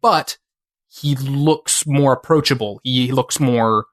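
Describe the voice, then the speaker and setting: A man co-hosting a podcast in casual chat, halting and rambling with lots of restarts. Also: mid-range voice, fairly bright tone. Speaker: podcast co-host.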